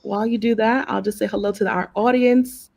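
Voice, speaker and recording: A woman's voice: a little high, fairly even intonation, brisk, vocal fry, darkish tone. A woman hosting a live online workshop in an upbeat, effusive way, welcoming the audience over a video call. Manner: upbeat, effusive, lots of praise words. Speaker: workshop host.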